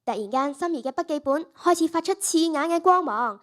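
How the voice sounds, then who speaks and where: A woman's voice: high-pitched, expressive, a little fast, a bit bright. A primary school girl with a Hong Kong Cantonese accent narrating a story in dramatic style. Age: child, primary school student.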